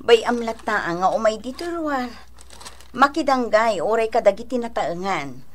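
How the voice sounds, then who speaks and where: Woman playing an animated, gently chiding mother. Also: on the high side, normal speed, close to an everyday voice. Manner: gently admonishing, expressive. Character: sensible mother and elder sister giving patient advice. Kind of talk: Ilocano radio drama acting.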